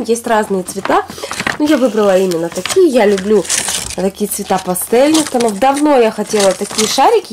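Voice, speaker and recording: A woman in her thirties from Russia, hoarse from a cold, chatting casually through a shopping haul at home. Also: medium pitch, a little mellow. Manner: chatty, rambling, talks to herself. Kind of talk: casual product haul chat. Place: home, recording products on a fluffy rug.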